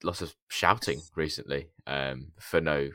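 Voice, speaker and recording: A man with a hoarse, gravelly voice, co-hosting a podcast in casual chat, laughing a lot and stumbling over his words. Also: rumbling low, steady tone, a little slow, bright, forceful tone, dry recording, studio quality. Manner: laughs often, stammering restarts. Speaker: podcast co-host.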